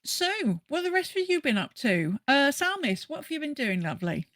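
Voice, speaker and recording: A woman's voice: sits high, sing-song, moderate pace, a bit bright, dry recording. Enthusiastic woman podcaster, close dry sound. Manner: enthusiastic, rambling. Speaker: podcast host. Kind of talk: casual podcast chat about a video game.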